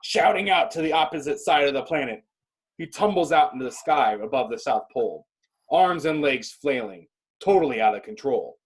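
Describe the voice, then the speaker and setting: A man with a husky voice reading stage directions as dramatic scripted narration. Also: mid-range voice, lively intonation, moderate pace.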